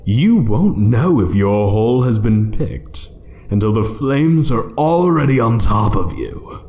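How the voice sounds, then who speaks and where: Man voicing a slow, deep, menacing ancient dragon. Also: very animated, mellow. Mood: cruel amusement. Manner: slow, taunting, condescending, dropping into whispers. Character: ancient menacing male dragon toying with a thief. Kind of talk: one-sided ASMR roleplay acting.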